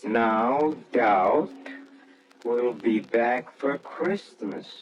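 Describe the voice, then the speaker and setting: A man's voice: medium pitch, extremely slow, lo-fi sound. A man playing a nervous, secretive professor who puts on joviality, speaking hesitantly in old-time radio drama style. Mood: brooding recollection. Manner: hesitant, stammering. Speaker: lead actor and narrator.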